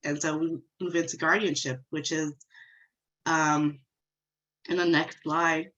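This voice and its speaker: A female attorney with a very low but bright, forceful voice, congested from a cold. She explains conversationally as she walks through slides, and her sound comes over a dull, lo-fi call line. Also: little pitch movement, unhurried. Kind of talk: legal webinar presentation.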